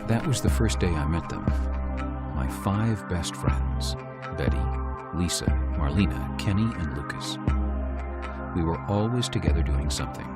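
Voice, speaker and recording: A man's voice: lively intonation, normal speed, loud music behind the voice. A man in an amateur audio drama playing a grave, kindly older man who guards an old secret, telling it solemnly. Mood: wistful, somber. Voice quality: very deep voice.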